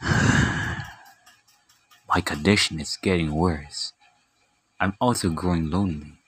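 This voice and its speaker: A man's voice: low-pitched, slow pace, fairly bright tone, a little hiss or hum. A man voicing a sickly, lonely young hero in an amateur comic dub, subdued and sighing. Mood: weary, lonely.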